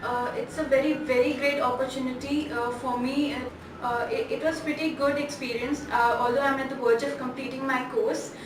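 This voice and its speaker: Young female student, North Indian accent, high voice, halting delivery, heavily reverberant room. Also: fairly even intonation. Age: 20s. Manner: hesitant, halting. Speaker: student giving a testimonial. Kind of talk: interview testimonial. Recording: echoey, distant mic, clear background noise.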